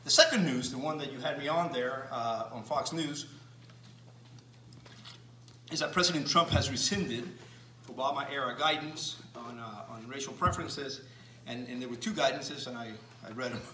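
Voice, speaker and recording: A Cuban-born man speaks in a bright, forward tone, laying out his points slowly with frequent restarts and fillers. He sounds far from the microphone in a reverberant room. A faint ambient hiss sits beneath the voice. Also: medium pitch. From Cuba. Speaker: speaker giving a talk. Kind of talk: lecture to an audience.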